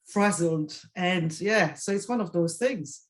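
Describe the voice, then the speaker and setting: A woman with a French accent, speaking in a reflective group discussion, halting and restarting often. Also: lowish voice. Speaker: panel participant.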